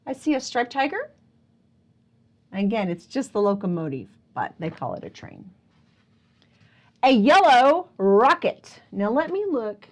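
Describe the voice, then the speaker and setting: A woman hosting a picture book storytime for young children, playfully asking questions and exclaiming with wonder. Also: high-pitched. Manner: playful, prompting listeners with questions. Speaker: children's librarian and storytime host. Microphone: speaking close to a camera.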